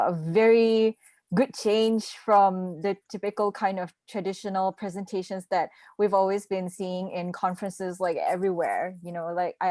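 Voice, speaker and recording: A woman's voice: medium pitch, mellow, no echo. Chatty young woman on a video call. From Malaysia. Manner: chatty, many fillers and little laughs. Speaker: assistant language teacher, session participant. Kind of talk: casual online conference discussion.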